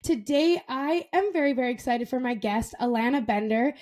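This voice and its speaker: A woman in her twenties hosting a casual podcast interview, enthusiastic and quick to laugh, often repeating words for emphasis. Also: high voice, animated, darkish tone. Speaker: podcast host.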